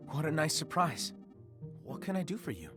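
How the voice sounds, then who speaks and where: A man's voice: fairly high pitch, sing-song, normal speed, music in the background. An actor playing a welcoming, eager young man in a visual novel, speaking in a friendly way. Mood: pleasantly welcoming. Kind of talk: scripted visual novel voice acting.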